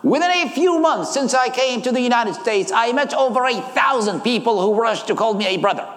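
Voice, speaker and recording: High-pitched Iraqi man preaching in echoey hall. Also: animated, a little fast, fairly bright tone. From Iraq. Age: 30s. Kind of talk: public sermon and personal testimony. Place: large hall, live talk. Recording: echoey hall with long reverb.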